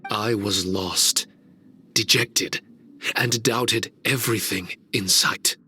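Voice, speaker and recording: An actor playing a solemn, wistful male shikigami servant in a game cutscene, speaking in a slow, measured, reflective way. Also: fairly low pitch, bright, forceful tone, a little hiss or hum, exaggerated voice.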